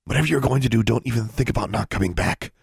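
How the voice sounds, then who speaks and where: A man voicing a bold, taunting teenage witch girl in an audiobook, exclaiming loudly and mockingly. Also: lowish voice, racing, vocal fry, breathy edge, dry recording, clean studio sound, pitched above the performer's own voice, full character voice. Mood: startled, defiant. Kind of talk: audiobook character acting.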